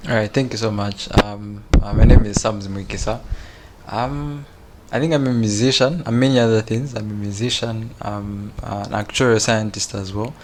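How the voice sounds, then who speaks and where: Man, slightly low voice, relaxed self-introduction with pauses. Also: background noise. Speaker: musician and actuarial scientist.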